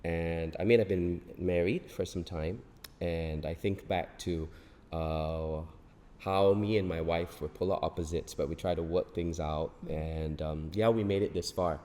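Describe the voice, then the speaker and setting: Deep-voiced man in reverberant hall. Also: fairly even intonation, measured pace, dark tone. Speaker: stage play cast member. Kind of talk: casual cast interview.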